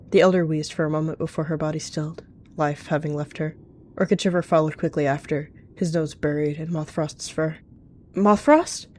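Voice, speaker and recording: Low-voiced female story narrator. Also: darkish tone, a little hiss or hum. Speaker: roleplay narrator.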